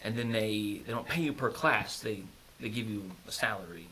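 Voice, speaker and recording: A flustered man runs a class on a video call, stumbling over fillers and hedges. His pitch swings in a lively way as he talks. He sounds like a nervous teacher trying to keep order. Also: moderate pace, a little hiss or hum, poor audio. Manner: flustered, hedging, filler-heavy talk. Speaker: teacher in a comedy sketch. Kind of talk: improvised comedy sketch on a video call.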